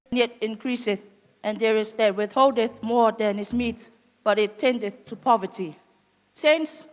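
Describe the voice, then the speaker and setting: Measured woman minister with a higher voice. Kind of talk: offering exhortation with scripture reading. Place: church hall. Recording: long reverb of a church hall.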